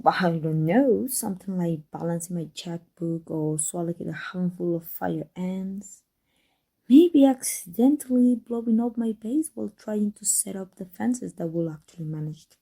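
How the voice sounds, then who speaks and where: Woman acting as a snarky female villain in an asmr roleplay, mellow voice w a slightly husky edge, super sardonic n taunting. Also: on the low side, heavily acted. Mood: scornful, sarcastic. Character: sarcastic, prickly female supervillain trading barbs with a rival. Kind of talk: ASMR roleplay audio drama.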